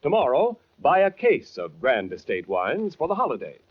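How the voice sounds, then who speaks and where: A man's voice: mid-range voice, huge pitch swings, normal speed. An American man reading a scripted 1940s radio advertisement as an announcer, in a polished, formal, emphatic manner. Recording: dull vintage 1940s radio recording.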